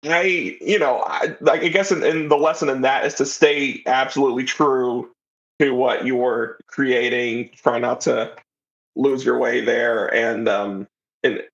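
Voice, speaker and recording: A man with a creaky, fry-laden voice gives a casual, reflective interview answer as a writer. His pitch is average, and the audio is a little dull and lo-fi. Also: fairly even intonation, a little slow, a bit bright.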